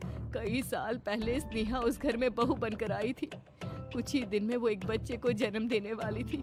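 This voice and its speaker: A woman playing a worried older mother-in-law, speaking gravely in a cartoon horror story. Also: on the high side, pitched above the performer's own voice, natural voice. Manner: grave, measured warning. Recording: music behind the voice.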